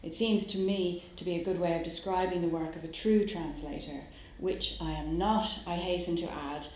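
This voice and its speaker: An Irish woman from Dublin, a poet who talks her audience through her work in a musing way, pausing on fillers, then reads her poems aloud at a live event. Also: on the low side, fairly even intonation, darkish tone, slight background noise. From Ireland. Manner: musing, with hesitant fillers between phrases. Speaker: poet giving a reading. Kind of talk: poetry reading with spoken introduction. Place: live reading venue. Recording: very echoey room.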